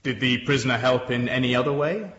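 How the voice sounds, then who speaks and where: A man's voice: mid-range voice, fairly bright tone, lightly acted. An actor playing a courteous, formal male Victorian defence barrister in a radio courtroom drama. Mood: reassuring. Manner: formal, measured questioning. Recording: roomy, slightly muffled courtroom sound.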